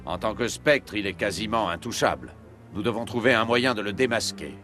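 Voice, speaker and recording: An actor playing an indignant human ambassador in a French video game, forceful and official. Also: male voice, fairly low pitch, animated, a little fast, faint music behind. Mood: stern.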